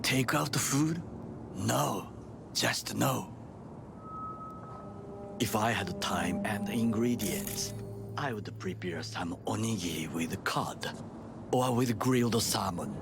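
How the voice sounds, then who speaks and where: Middle aged japanese bodyguard in a game, japanese accent, formal n solemn, slow gravelly voice w music behind. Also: male voice, lowish voice. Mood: wistful, dry. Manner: formal, measured, stiff phrasing. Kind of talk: video game voice acting.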